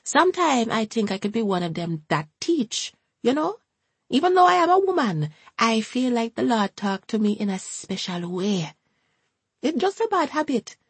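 A woman's voice: fairly high pitch, slightly rough, no room sound, pitched above the performer's own voice, full character voice. A woman voicing a nervous, devout elderly grandmother with an eager, sing-song way of talking.

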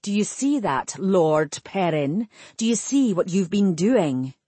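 Woman as scornful, commanding male elf sorcerer, husky. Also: medium pitch, big pitch swings, slow pace, dark tone, dry recording, heavily acted. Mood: snarling, scornful. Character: angry elven man, sorcerer, scornful protector. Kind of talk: audiobook character acting.

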